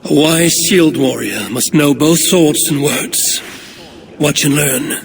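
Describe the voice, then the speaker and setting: An actor voicing a steady Viking warrior leader who speaks in calm, terse, commanding lines. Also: male voice, fairly high pitch, slow pace, creaky, background noise. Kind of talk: video game cutscene. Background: music.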